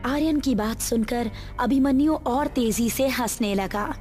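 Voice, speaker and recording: A woman's voice: on the high side, measured pace, a little mellow. A woman narrating a scripted horror story as the storyteller. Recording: music behind the voice.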